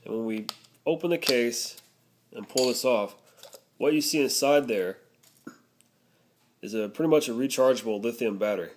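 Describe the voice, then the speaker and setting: A man's voice: medium pitch, normal speed, pauses often. A man narrating a casual product review, explaining things step by step.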